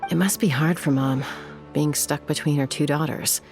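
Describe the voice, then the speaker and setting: Casting: a young woman warrior, calm and soft-spoken, slight fry, game score under her. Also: low voice, music in the background. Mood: reflective. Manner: soft, measured storytelling. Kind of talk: video game voice acting.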